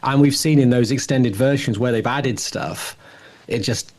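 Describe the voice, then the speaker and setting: A man's voice: mid-range voice, a little slow, darkish tone. A middle-aged man talking casually as a podcast co-host, thinking aloud in a reflective, rambling way. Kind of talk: casual discussion.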